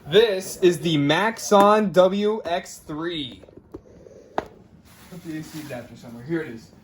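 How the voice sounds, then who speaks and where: A man's voice: a little high, unhurried, a little hiss or hum. A man hosting a casual unboxing video from his room, jokey and chatting to viewers and friends. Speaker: host of a radio unboxing video. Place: a room at home.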